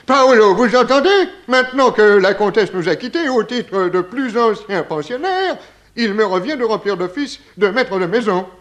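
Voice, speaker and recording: Fussy, high-voiced, doddering gentleman. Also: lively intonation, normal speed. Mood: flustered, indignant. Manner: stammering, flustered, mannered. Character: fussy, doddering, pompous gentleman lodger, senior resident. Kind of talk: 1960s French radio detective comedy acting.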